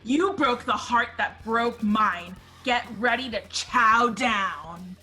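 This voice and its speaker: A woman acts out a vengeful, jilted teenage girl in a script read. Her voice is bright and forceful, and she delivers each accusation slowly and dramatically. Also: on the high side, soft background music. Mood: furious. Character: furious, jilted teenage ex-girlfriend out for revenge.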